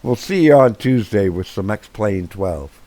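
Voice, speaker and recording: A man with a hoarse voice who says he is losing it, chatting casually over gameplay as the host of his own channel, rambling with many fillers and restarts. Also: on the low side, expressive, unhurried, dark tone.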